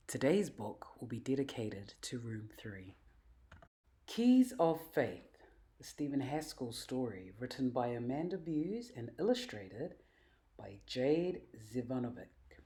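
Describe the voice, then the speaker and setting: A woman reading a children's story aloud in an animated, emphatic, expressive way. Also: rumbling low, a little slow. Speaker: storybook reader.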